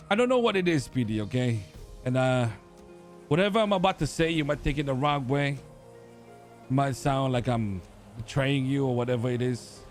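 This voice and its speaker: A man talking in character in a roleplay game, hesitant and halting, with many fillers. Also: mid-range voice. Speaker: gang member in a roleplay server.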